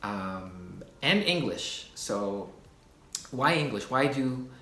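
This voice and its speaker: Slow-talking Filipino man in an echoey room. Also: fairly low pitch, slight background noise. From Philippines. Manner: relaxed, many fillers and restarts. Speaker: video host. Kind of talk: casual explainer talk.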